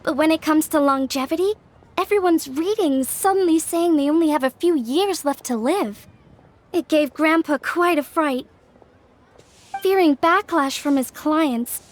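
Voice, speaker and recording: Breezy, high-voiced young craftswoman. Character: friendly, candid young craftswoman from fortune-teller family. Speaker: game character voice actor. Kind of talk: scripted video game dialogue.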